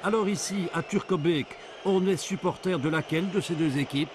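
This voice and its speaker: A man's voice: on the high side, normal speed, background noise. A man reading scripted investigative narration in a wry, ironic manner. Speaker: documentary reporter and narrator.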